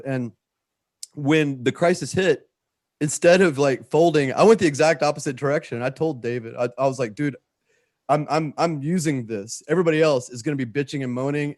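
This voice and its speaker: A man's voice: on the high side, darkish tone, no echo, clean studio sound. A man talking casually and earnestly to a group, swearing freely and often doubling back on his phrases. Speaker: writer and filmmaker. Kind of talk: casual heartfelt talk to a group.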